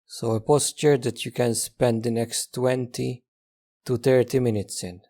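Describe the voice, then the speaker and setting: A man leading a guided meditation in a gentle, unhurried manner, leaving long pauses between instructions. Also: mid-range voice, steady tone.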